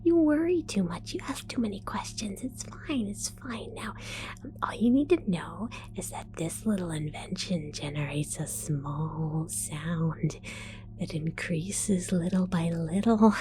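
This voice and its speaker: A woman voicing a scatterbrained female mad scientist in an ASMR roleplay, whispering softly, giggling and stammering as she falsely reassures. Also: on the low side, a little slow, natural voice, low-quality recording. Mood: sly whisper. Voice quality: soft whisper.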